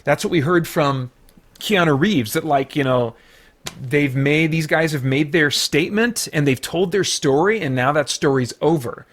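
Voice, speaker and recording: Higher-voiced American man, rambling casual review. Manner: halting, rambling, thinking aloud. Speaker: review show host. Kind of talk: casual unscripted film review.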